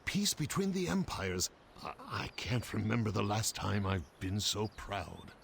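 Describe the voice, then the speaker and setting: A deep-voiced, gravelly military captain from a fantasy game. He is middle-aged, solemn and devoted, speaking in an earnest, measured way. A faint rumbling noise sits behind him. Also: animated, a bit bright, exaggerated voice. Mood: proud, moved. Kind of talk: fantasy game cutscene acting.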